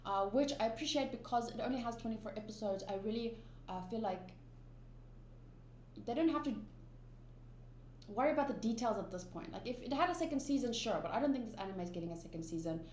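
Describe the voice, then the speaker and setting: A rather high-voiced woman, chatting about an anime in loose, emphatic reaction commentary that circles back and restarts itself. Her voice rings in a roomy space, with a faint hum underneath. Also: lively intonation, fast, a little mellow. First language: Afrikaans. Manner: rambling, emphatic, many restarts and fillers.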